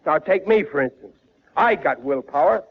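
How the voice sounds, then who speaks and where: Middle-aged blustering dad, high-pitched, gravelly, folksy bad grammar, 1940s radio comedy, muffled lo-fi recording. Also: male voice, lively intonation, normal speed. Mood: preachy. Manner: folksy ungrammatical speech, blustering, comically self-satisfied. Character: blustering, good-natured working-class family man, a war worker. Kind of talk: 1940s radio sitcom acting.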